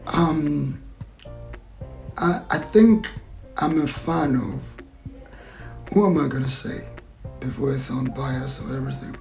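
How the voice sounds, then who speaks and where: A man's voice: mid-range voice, creaky, darkish tone, poor audio. A man answering interview questions as an actor and filmmaker, reflective and searching for his words. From Zambia.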